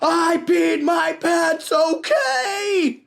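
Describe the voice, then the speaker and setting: A woman's voice: very high voice, animated, extremely slow, gravelly, voice pushed up, exaggerated voice. A woman voicing an anxious, embarrassed young boy in a children's story, strained, defensive and blurting. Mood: upset outburst. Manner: blurting, pleading outbursts.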